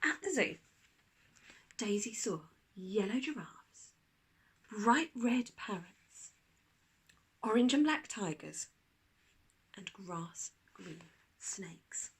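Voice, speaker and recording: A woman narrating a children's storybook like a teacher reading aloud, expressive, with dramatic pauses. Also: mid-range voice, husky.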